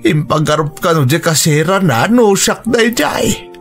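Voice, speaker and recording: High-voiced, plaintive Ilocano father. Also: lively intonation, moderate pace, soft background music. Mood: dejected, betrayed. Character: ashamed, heartbroken father deceived in love. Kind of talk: emotional Ilocano radio drama acting.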